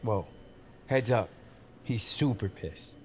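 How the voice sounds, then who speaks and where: An actor playing a chummy, grumbling emergency dispatcher with slangy, informal talk in a fiction podcast. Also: male voice, on the low side, slow, husky. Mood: worried, grumbling. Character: lively, grumbling, chummy male 911 dispatcher.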